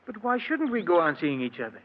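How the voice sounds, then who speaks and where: An actor playing an unhappy, lovesick husband, earnest and melodramatic, in a 1940s radio drama. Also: male voice, high voice, very animated, fairly quick. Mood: pleading. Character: unhappily married, lovesick man, tormented and resentful. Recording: tinny, noisy old radio broadcast.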